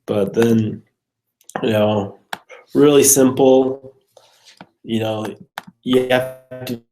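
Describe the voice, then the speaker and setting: A man's voice: medium pitch, steady tone, extremely slow, mellow, slight background noise, poor audio. A man casually walking through code on screen like a programming student, thinking aloud in a hesitant, unsure way.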